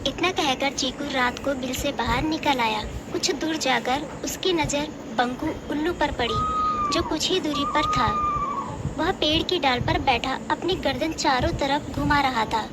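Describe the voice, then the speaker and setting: A woman with a high voice narrates a children's animal tale. She delivers scripted storybook narration as the storyteller. Clear noise is audible behind her. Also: big pitch swings, a little slow.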